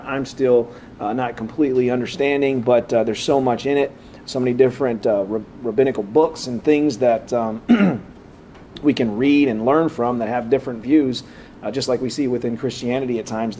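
A man opening a church class with a halting prayer and then teaching, his voice slightly raspy from preaching. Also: medium pitch, darkish tone, slight background noise. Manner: halting, many "uh" fillers and restarts.

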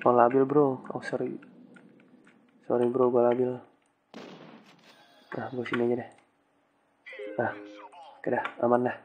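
A man's voice: medium pitch, completely flat delivery, a little slow, long pauses, narrow, tinny audio, slight background noise. A young man with a stuffy nose from a cold, giving casual, slangy game commentary with English exclamations. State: has a cold. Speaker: gaming channel host.

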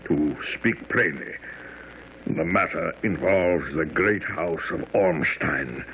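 A man's voice: low-pitched, slow pace, slight background noise. An actor playing a haughty, pompous Bohemian king with a German accent, speaking formally in a radio drama. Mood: grave, confiding. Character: imposing, haughty Bohemian king incognito, very tall. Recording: tinny, phone-like old radio recording.

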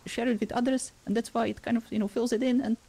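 A woman's voice: on the high side, steady tone, fast, mellow. A woman voicing an awkward, self-doubting, easily embarrassed storyteller in a fantasy game roleplay, hesitant and self-deprecating. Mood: earnest, halting.

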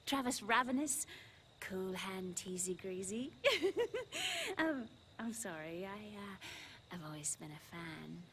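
Game role: giddy schoolgirl superfan turned assassin, girlish, wildly animated, hesitant gushing delivery. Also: mid-range voice, takes it slowly, slight background noise, heavily acted. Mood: giddy, nervous. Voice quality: girlish, giggly. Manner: gushing, hesitant teen slang, sudden swoops. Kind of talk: video game cutscene acting.

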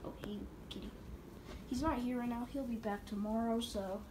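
A woman's voice: fairly high pitch, fairly even intonation, normal speed. Chatty girl giving a house tour. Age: child. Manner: chatty, rambling. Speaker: channel host. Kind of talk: home tour vlog. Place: house and yard, indoors and outdoors. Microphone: handheld phone camera. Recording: noisy phone recording.

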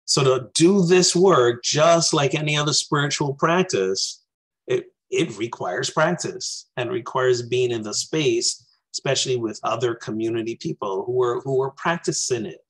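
Husky-voiced man in his forties, from Barbados. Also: medium pitch, normal speed, rough recording. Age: 40s. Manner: earnest, reflective, gestures with his hands. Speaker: minister. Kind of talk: spoken talk to a congregation over video call. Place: small room indoors. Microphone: webcam on a video call.

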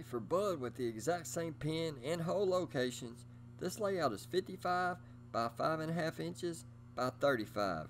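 A middle-aged man giving scripted instructional narration in an upbeat presenter manner, speaking straight to his viewers. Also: fairly high pitch. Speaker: host of a bowling instruction video.

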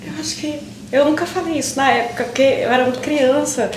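High-voiced emotional Brazilian woman acting on stage. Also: lightly acted. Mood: tearful, pleading. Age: young adult. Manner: halting, emotional, with pauses. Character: emotional young woman pleading with a long-lost school friend. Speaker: stage actress. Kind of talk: improvised theatre monologue. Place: indoor theatre stage. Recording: roomy, distant, noisy stage recording.

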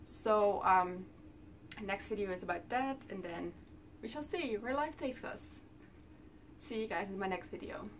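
A woman's voice: mid-range voice, lively intonation, unhurried, long pauses, distant mic, slight background noise. A woman in her twenties from the Netherlands with a Dutch accent, chatting casually to camera from her bedroom, with lots of ums while she talks with her hands. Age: 20s. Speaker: vlogger. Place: small bedroom indoors.

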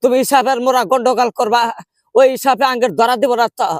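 Man putting on a high-pitched quarrelsome village granny. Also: steady tone, fast talker, no room sound, studio quality. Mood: indignant, insistent. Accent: rural Bangladeshi dialect. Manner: insistent, complaining, argumentative. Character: quarrelsome old village grandmother, poor, complaining and haggling. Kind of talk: comic prank phone call.

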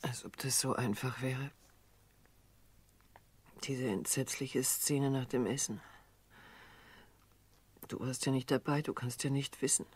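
An actress in a German radio drama playing a distraught, guilt-ridden daughter, breathy and hushed, speaking in an anguished, halting way. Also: female voice, very deep voice, fairly even intonation, full character voice. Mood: weary, distressed. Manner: anguished, halting, self-reproaching.

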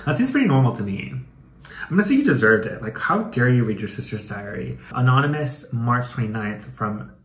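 A man's voice: mid-range voice, sing-song, a little fast, fairly bright tone. Campy young American man, echoey room. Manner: campy, slangy, playfully dramatic. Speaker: host of a comedic reading video. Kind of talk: casual chatty reading with commentary. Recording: echoey room with some background noise.

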